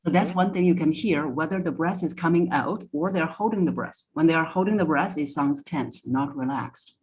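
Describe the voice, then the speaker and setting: A woman's voice: low voice, normal speed, a little mellow. A woman speaking as a voice coach in a conversational interview, giving instructive advice step by step. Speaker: voice coach, podcast guest.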